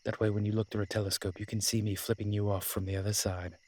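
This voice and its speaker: A man playing a wry, flirtatious immortal incubus demon in a roleplay audio drama, with a husky voice and a dry, teasing, conversational manner. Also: fairly low pitch, level tone, quick pace, a little mellow, no echo, lightly acted. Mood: musing, teasing.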